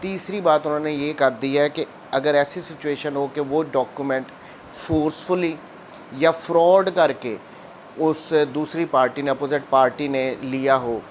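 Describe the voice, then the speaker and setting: Pakistani man slowly reading out legal text. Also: on the high side, a little hiss or hum, rough recording. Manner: reads the statute slowly and deliberately, then explains it briskly. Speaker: advocate explaining law. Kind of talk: lecture on legal statute.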